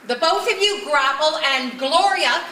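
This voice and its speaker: A woman's voice: high-pitched, expressive, unhurried, pushed and bright, full character voice. A woman on stage playing a keen, placating play director who steers a rehearsal in a comedy. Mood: patient. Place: live stage at a one-act play festival. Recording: roomy stage sound with noise.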